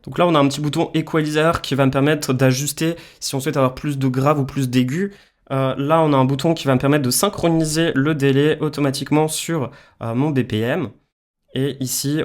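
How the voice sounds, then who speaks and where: A man explaining music software step by step in a casual tutorial style. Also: medium pitch, fairly even intonation, fairly quick. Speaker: music production tutorial presenter.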